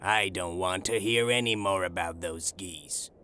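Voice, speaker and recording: A man's voice: low voice, very animated, drawn-out words, fairly bright tone, smooth, full character voice. A man playing a tall, angry goose seller in a dramatised audiobook, curt, impatient and dismissive.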